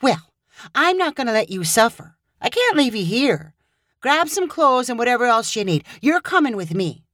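A woman voicing an outspoken, caring young woman who speaks brightly and emphatically. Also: fairly high pitch, sing-song, normal speed, no echo, studio quality, pitched above the performer's own voice. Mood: insistent.

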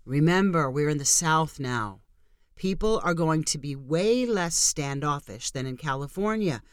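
A mild, easygoing husband, voiced by a woman reading the story, who speaks in a placating tone. Her delivery keeps a lively lilt, and the sound stays dry and close. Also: low-pitched, a little slow, smooth, no room sound.

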